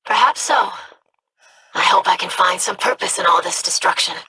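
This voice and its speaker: A woman's voice: fairly low pitch, normal speed, bright, forceful tone, a little hiss or hum, low-quality recording. An actress playing a young, earnest female alien scholar in a video game, polite, formal and thoughtful.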